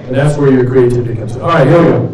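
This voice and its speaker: A man's voice: medium pitch, racing, a little mellow. A man instructing a club group in informal teaching talk, putting questions to the listeners. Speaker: instructor leading a club training session. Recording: echoey room, distant mic, audience noise.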